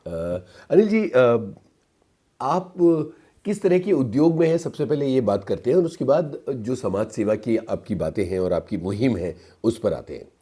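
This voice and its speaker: A man hosting a formal radio interview in a studio, asking questions in a polite, measured way. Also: medium pitch, animated, dark tone, husky.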